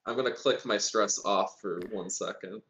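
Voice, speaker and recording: A roomy, echoing recording of a man with a fairly low voice. He is a player in a tabletop game, talking casually and in a joking way. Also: normal speed, crowd noise. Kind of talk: casual chat.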